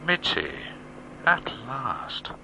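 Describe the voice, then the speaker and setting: An actor playing a smooth-talking, menacing criminal in a radio drama, guarded and insinuating. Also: male voice, mid-range voice, sing-song, extremely slow, sharp tone, heavily acted. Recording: dull sound, faint background noise.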